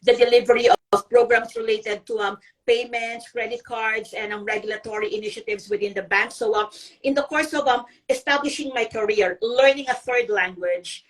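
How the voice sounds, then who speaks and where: A woman's voice: a little high, moderate pace, recorded from a distance, low-quality recording. A middle-aged woman from the Philippines, a guest blogger on a live video interview, speaking earnestly with many ums and restarts. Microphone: video call.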